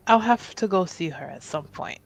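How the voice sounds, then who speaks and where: Tabletop game role: composed, dryly teasing noblewoman played by a woman, lowish voice, very unhurried. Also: creaky, no room sound, full character voice. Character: composed, gently teasing noblewoman, fond elder confidante.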